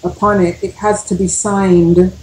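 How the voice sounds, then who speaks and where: A woman's voice: fairly low pitch, little pitch movement, a little slow, a little mellow, echoey room, a little hiss or hum, poor audio. A woman giving emphatic, step-by-step advice on a radio talk show, repeating her key points. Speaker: panelist giving legal advice.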